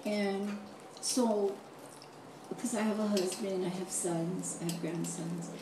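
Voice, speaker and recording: An older woman from Hawaii speaking reflectively at an audience mic, in halting, deliberate and solemn phrases. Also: mid-range voice, fairly even intonation. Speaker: audience member asking a question. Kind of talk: reflective personal speech at an open mic. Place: large echoey room, event audience mic. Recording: echoey, distant mic, noisy room.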